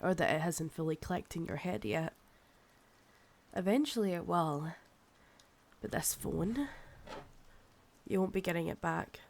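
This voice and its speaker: A woman acts out an ancient Scottish vampire woman for ASMR roleplay, proud, possessive and seductive. She speaks in a low, gravelly voice at a very unhurried pace, intimately teasing and looking down on her listener. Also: animated, a little hiss or hum. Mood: haughty, explaining. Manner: slow, teasing, condescending, calls listener darling.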